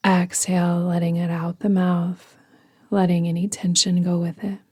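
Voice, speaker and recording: A woman guiding a yoga nidra meditation in a soothing, gentle, deliberate manner, often trailing off into a whisper. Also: fairly low pitch, level tone, slightly rough. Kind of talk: guided meditation narration.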